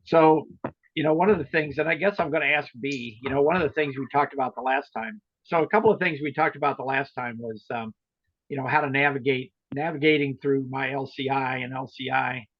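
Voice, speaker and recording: A man's voice: mid-range voice, steady tone, a little fast. A man leading an informal meeting, explaining things in a hesitant, rambling way. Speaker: meeting leader and trainer of volunteer club officers. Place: online video meeting. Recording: roomy, muffled online meeting audio.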